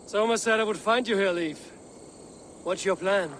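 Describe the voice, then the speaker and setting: An actress playing a steady, direct young Norse warrior woman in a video game cutscene. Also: mid-range voice, moderate pace, slight background noise, natural voice. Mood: steady, probing.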